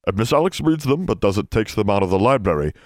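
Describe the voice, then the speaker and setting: A man's voice: lowish voice, sing-song, quick pace, dry recording, clean studio sound. A man voicing a timid, servile library elf in an audiobook, speaking eagerly in broken servant grammar. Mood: earnest, pleading. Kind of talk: audiobook character voice.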